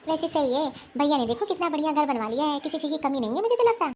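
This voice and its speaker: A woman playing a middle-aged visiting aunt who thanks her hosts with warm, gushing gratitude. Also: high voice, lively intonation, fast talker, slight background noise. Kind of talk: homemade family skit acting.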